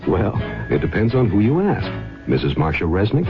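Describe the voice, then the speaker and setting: A man's voice: lowish voice, very animated, moderate pace. A man announcing a scripted radio advertisement in 1970s commercial style. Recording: dull radio recording, loud music bed.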